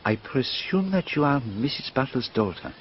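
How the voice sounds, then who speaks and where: A man acting as an older gentleman detective in a radio mystery, formal and politely probing. His delivery rises and falls in lively fashion. The recording is dull, with noise behind it. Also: medium pitch, moderate pace, lightly acted. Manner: formal, precise, politely inquisitive. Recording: dull lo-fi radio drama, noisy.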